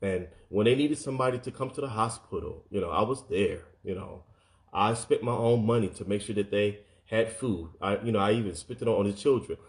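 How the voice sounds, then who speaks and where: Earnest low-voiced man giving encouragement. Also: brisk. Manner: earnest, repeats words, addresses listeners directly. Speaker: motivational speaker. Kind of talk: encouraging motivational talk.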